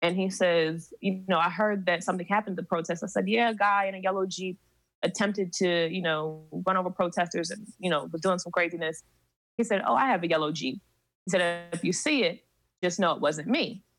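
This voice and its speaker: A woman guest on a podcast, telling a personal story in a measured way with many pauses. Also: lowish voice, a bit bright, rough recording. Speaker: seminary student. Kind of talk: recounting a personal story in conversation.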